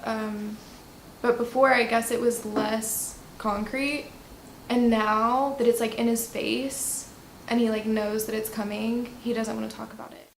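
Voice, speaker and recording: A woman's voice: fairly high pitch, fairly even intonation, measured pace, a little hiss or hum. A twenty-two-year-old woman speaking frankly and casually in a documentary interview. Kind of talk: candid, casual interview talk. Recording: roomy echo with music behind. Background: instrumental music.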